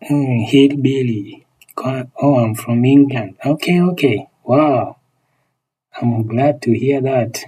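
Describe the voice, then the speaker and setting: Slow-talking, husky Kenyan man. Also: mid-range voice, warm tone, poor audio. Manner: repeats phrases, friendly thanks to viewers. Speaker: phone repair technician and live streamer. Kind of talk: casual livestream chat, teaching and replying to viewers.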